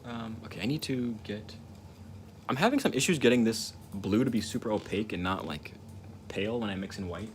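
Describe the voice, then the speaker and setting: A man's voice: lowish voice, lively intonation, brisk. A young man with a stutter chatting casually on a livestream while he paints, rambling and reflective, repeating words and restarting sentences. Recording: noticeable ambient noise.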